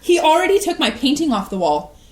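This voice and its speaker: A woman's voice: high voice, very animated, roomy sound. A woman in a staged play reading playing a nervous young adult daughter who talks anxiously. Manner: anxious, rushed.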